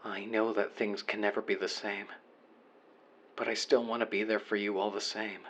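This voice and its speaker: A man's voice: fairly low pitch, little pitch movement, moderate pace, fairly bright tone, muffled recording, a little hiss or hum, natural voice. A man playing a remorseful young man leaving an apologetic voicemail to his former best friend, earnest and confessional in an audio roleplay monologue. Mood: wistful, sincere.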